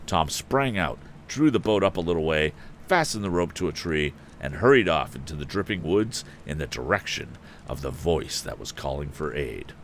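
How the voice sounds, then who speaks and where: Deep-voiced man reading aloud, very animated. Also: moderate pace, a little hiss or hum. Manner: expressive, dramatic reading. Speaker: host and reader of a live story stream. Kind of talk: audiobook-style narration with casual asides.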